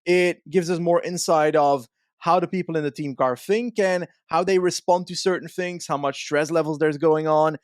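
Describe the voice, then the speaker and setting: A man with a somewhat high-pitched voice speaks as a podcast co-host. He explains things in a casual, analytical way, building up points with examples. The recording is dry and close. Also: steady tone, moderate pace, hardly any pauses, no room sound.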